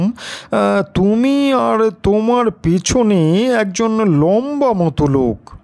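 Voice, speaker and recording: A man voicing an elderly village uncle in an audio story, bewildered and insistent, with halting, hesitant speech. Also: high voice, a little mellow, pitched above the performer's own voice. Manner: halting, drawn-out, with hesitant interjections.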